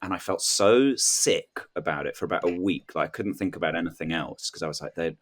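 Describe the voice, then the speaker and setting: Man, deep voice, halting, self-deprecating, rambling comic storytelling. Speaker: comedian, podcast panel guest.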